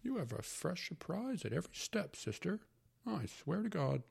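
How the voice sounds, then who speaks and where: A man voicing a fifty-year-old bachelor uncle who mutters slowly and wistfully. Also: sits high, big pitch swings, gravelly, a little mellow, husky, voice pushed up, full character voice. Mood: muttering.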